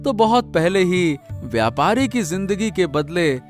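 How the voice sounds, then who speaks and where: A man acts out an honest, humble village husband for a cartoon tale. His highish voice moves in wide swings and takes its time, with earnest, hesitant turns. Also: sing-song, unhurried, soft background music. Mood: earnest, regretful. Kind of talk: Hindi cartoon story voice acting.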